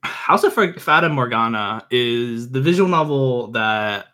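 A man speaking as a podcast guest, earnest and opinionated, often halting as he searches for words in casual chat. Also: medium pitch, lively intonation, bright, forceful tone. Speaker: podcast guest, visual novel enthusiast.

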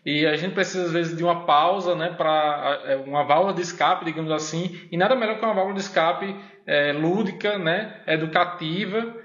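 A professor with a northeastern Brazilian accent, talking in a slightly higher voice. He chats casually and hesitantly, pausing on fillers and tag questions. The recording is echoey and dull, like a small room on a lo-fi mic. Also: a bit bright. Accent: Brazilian Portuguese, northeastern. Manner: hesitant, many fillers and repeated "né?". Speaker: podcast guest, university professor. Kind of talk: casual interview chat.

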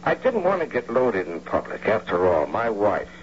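An actor playing a big, embittered, sarcastic husband with wounded pride in a theatrical old-time radio drama. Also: male voice, lowish voice, wildly swooping pitch, moderate pace. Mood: drunken bitterness. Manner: theatrical, sardonic, brooding. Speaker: actor playing lead and narrator. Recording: dull, noisy old radio recording.

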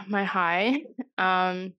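A woman's voice: mid-range voice, fairly even intonation, drawn-out words, bright, forceful tone, no room sound, highs cut off. A young woman co-hosting a podcast in casual chat. She rambles, fills every phrase with "like" and swears freely, and she sounds slightly under the weather. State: slightly sick, voice a bit off. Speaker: podcast co-host.

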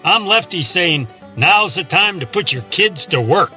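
A man playing a zealous, mock-earnest pitchman in a scripted parody radio commercial for absurd handyman tools. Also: animated, a little slow, music in the background. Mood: salesy, upbeat. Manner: salesy, upbeat, mock-earnest.

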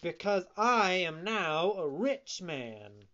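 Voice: medium pitch, big pitch swings, slow pace, a bit bright, highs cut off, pitched above the performer's own voice. A narrator voicing a naive, kind-hearted wooden puppet boy, eager and earnest, in a storybook reading. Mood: proud, eager.

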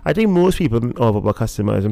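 A man from Trinidad with a Trinidadian accent, a guest talking in a relaxed interview and often pausing with fillers and restarts. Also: medium pitch, gravelly, no echo. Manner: hesitant, many fillers and restarts. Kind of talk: casual interview talk.

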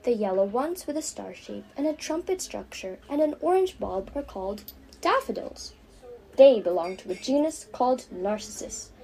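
Teen girl voicing a knowledgeable aunt, patient, fond, explanatory, higher lively voice, storybook. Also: moderate pace, gravelly, rough recording. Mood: explaining. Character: kindly, knowledgeable aunt and teacher.

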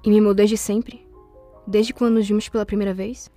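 A woman's voice: mid-range voice, little pitch movement, fairly quick, mellow, soft background music, lightly acted. A Brazilian woman playing a proud, wealthy young bride in a radio soap, formal, imperious and wounded. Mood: cool, controlled.

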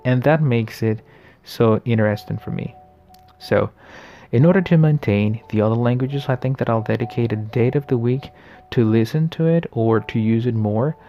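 A man in his late twenties hosting a casual solo podcast, talking in a friendly, reflective way, often pausing and restarting his sentences. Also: mid-range voice, dull audio, faint music behind. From the Americas. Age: late 20s. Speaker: podcast host.

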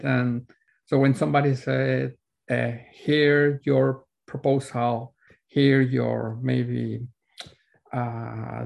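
Creaky-voiced Mexican man in an interview. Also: medium pitch, dull audio. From Mexico City, Mexico. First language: Spanish. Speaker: interview guest, PhD student. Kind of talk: casual interview answers.

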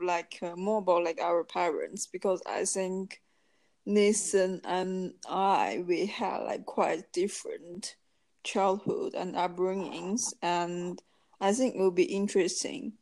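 A woman's voice: fairly low pitch, unhurried, a little mellow. A woman co-hosting a podcast, talking casually with many fillers like "you know" and "like". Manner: chatty, heavy on "like" and "you know". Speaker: podcast co-host. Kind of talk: casual chat.

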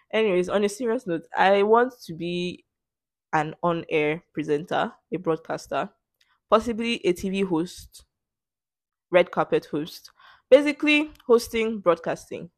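A woman's voice: fairly low pitch, animated, unhurried, no echo. A young woman with a Nigerian English accent, host of a casual solo podcast, answering questions in a playful, sarcastic way. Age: young adult. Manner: sarcastic, playful, laughs often.

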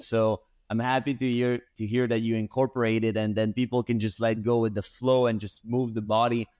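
A man's voice: mid-range voice, a little mellow. Eager, affirming male interview host. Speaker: podcast host, breathwork teacher. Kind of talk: conversational interview.

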